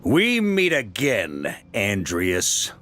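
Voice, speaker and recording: Deep, expressive, confident knight commander. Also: male voice, extremely slow, a little hiss or hum, full character voice. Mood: wary, resolute. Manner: breezy, self-assured, musing aloud. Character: confident, easygoing male knight grandmaster, seasoned commander. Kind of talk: video game character acting.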